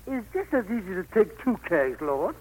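A man's voice: sits high, very animated, normal speed, low-quality recording. An actor playing a humble, crafty country man in an old radio play, deferential and coaxing. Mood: wheedling. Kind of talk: 1940s-50s radio play acting. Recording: vintage radio broadcast recording.

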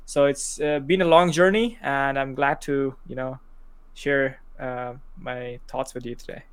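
Young man from Pakistan, guest, halting delivery with fillers, flat intonation, clean dry sound. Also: mid-range voice, clear, resonant tone, dry recording. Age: 20s. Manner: hesitant, many fillers and restarts. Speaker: podcast guest. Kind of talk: casual interview chat.

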